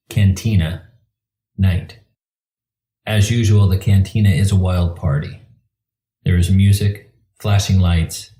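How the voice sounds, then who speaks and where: A male narrator reads scene directions in a very low, dark voice. The sound is roomy and echoing, with music faintly underneath his scripted narration. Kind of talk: scripted screenplay-style narration.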